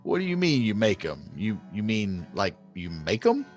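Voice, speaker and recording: A naive rustic customer in a comic radio sketch. His voice is on the low side and very animated, with a quiet guitar behind it. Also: moderate pace. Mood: puzzled. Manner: rustic, puzzled questioning. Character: naive, curious country cowboy customer. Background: plucked guitar music.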